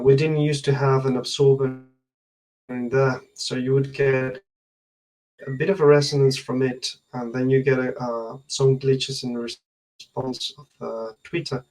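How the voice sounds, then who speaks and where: Hesitant man in his thirties, medium pitch. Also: darkish tone, rough recording. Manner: hesitant, pausing mid-sentence to think. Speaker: loudspeaker research engineer, interview guest. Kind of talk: technical interview explanation. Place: indoor room at home, on a video call. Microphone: video call.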